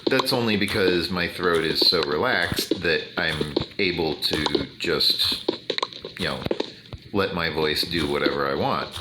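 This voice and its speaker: A man with a deep bass voice talking slowly and deliberately over gameplay, with many fillers. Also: very noisy. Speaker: gaming YouTuber. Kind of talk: casual commentary over gameplay.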